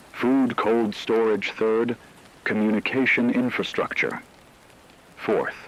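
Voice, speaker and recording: A man reading a scripted emergency radio broadcast in a grave, measured, formal manner. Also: on the low side, creaky, slight background noise, rough recording.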